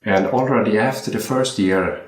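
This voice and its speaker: A young Swedish man who works as a watchmaker, chatting in a relaxed, hesitant way and often repeating words as he recalls his training and jobs. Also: lowish voice, moderate pace. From Sweden. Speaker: watchmaker guest. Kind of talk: casual interview chat. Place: room in a home. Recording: roomy home interview.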